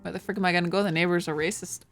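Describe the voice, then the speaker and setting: A woman's voice: fairly low pitch, fairly even intonation, rapid-fire, faint music behind. A woman co-hosting a game playthrough, chatting casually in a playful, teasing way. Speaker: gaming channel co-host.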